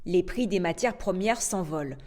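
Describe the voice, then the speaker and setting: A woman anchoring a news bulletin, reading in a steady, formal way. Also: lowish voice, normal speed. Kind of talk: scripted news narration.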